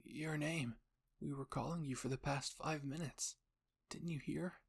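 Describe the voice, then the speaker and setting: A man voicing a worried young male soldier in a roleplay story, gentle and earnest with his friend. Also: a little high, steady tone, measured pace, vocal fry, husky, voice pushed up, heavily acted.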